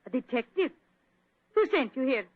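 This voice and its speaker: A curt, hostile Swedish sculptress, her high voice lively. The dry old radio recording sounds thin and phone-like. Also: moderate pace, no room sound. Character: hostile defensive woman sculptor.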